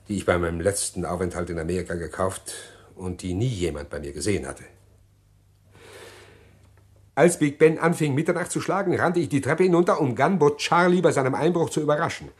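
A condemned man played by a male actor in a German radio thriller. He is a cold-blooded schemer, deliberate in the telling but desperate underneath, and his voice rises and falls widely as agitation takes over. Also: medium pitch, close to an everyday voice. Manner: detailed, deliberate recounting breaking into agitated pleading. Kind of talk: German radio crime drama acting.

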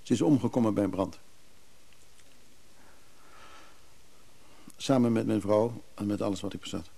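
A weary middle-aged businessman in a radio play, wistful and reflective. His low voice is full of gravel and soft around the edges, with hesitant pauses as he confides. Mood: grave, confiding. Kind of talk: naturalistic Dutch radio drama acting.

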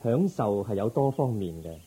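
A man playing a calm, resolute young prince turned monk in a radio drama, speaking in a measured, gentle, formal way. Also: lowish voice, husky, exaggerated voice, low-quality recording. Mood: calm, sincere. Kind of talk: Cantonese Buddhist radio drama acting.

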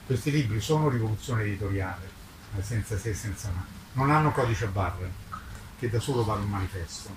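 Emphatic older man, distant and echoey. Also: lowish voice. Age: over 60. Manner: emphatic, declamatory, with halting repetitions. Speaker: book publisher. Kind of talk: impassioned public talk at a book presentation. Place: book presentation before an audience, recorded from a distance. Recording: roomy, distant mic with clear background noise.

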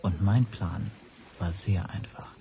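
A man's voice: deep voice, slow pace, dark tone. An actor playing a tense, self-doubting man who tells his own story as introspective first-person narration in a radio play. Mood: tense, deliberate.

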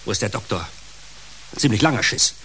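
A man's voice: fairly low pitch, animated, brisk, very noisy, close to an everyday voice. A male actor playing a grumpy, self-centred uncle in a German radio play, grumbling with impatient sarcasm. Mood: sour grumbling.

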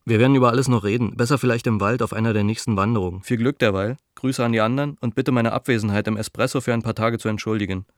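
A man's voice: medium pitch, fairly even intonation, rapid-fire, few pauses, no room sound, clean studio sound, lightly acted. An actor in a radio play as an earnest, cautious dissident intellectual, reading his letter in a reflective, articulate, argumentative way. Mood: earnest, reasoning.

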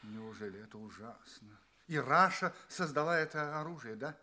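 A man's voice: medium pitch, moderate pace, bright, forceful tone. An actor playing an anxious, bewildered space traveller in an audio play, politely asking questions and telling his tale theatrically. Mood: puzzled.

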